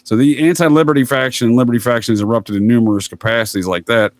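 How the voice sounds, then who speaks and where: A man's voice: mid-range voice, steady tone, fast, no echo. A man speaking as a podcast guest, laying out theories assertively in conversation. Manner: assertive, addresses listener as "sir". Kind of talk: conspiracy-theory talk in a podcast interview.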